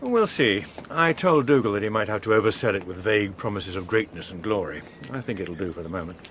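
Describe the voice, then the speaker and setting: An actor in a radio drama playing a suave, unflappable veteran politician with an upper-class English accent and an understated manner. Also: male voice, fairly low pitch, expressive, fast talker, a bit bright, a little hiss or hum. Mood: cool, guarded. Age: middle-aged. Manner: smooth, understated.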